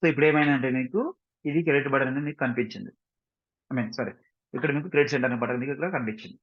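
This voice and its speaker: An Indian-accented man talks quickly through a software tutorial in a slightly husky voice. He explains step by step in an informal way, slipping in English phrases and often checking that learners follow. The recording is dull and lo-fi. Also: medium pitch. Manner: repeatedly checks understanding with short questions. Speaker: software instructor. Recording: dull, muffled lo-fi microphone.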